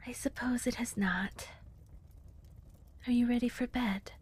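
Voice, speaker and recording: Woman acting a breathy, hushed, worried wife. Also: on the high side, fairly even intonation, measured pace. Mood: gentle, teasing. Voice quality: breathy, whispery. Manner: gentle, earnest, hushed. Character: worried, loving wife and mother pleading gently. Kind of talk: audio drama acting.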